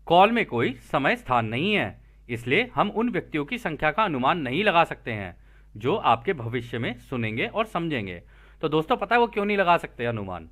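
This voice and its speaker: A man's voice: on the high side, sharp tone. A man teaching spiritual material, reading aloud and explaining it informally, checking in with listeners through rhetorical questions.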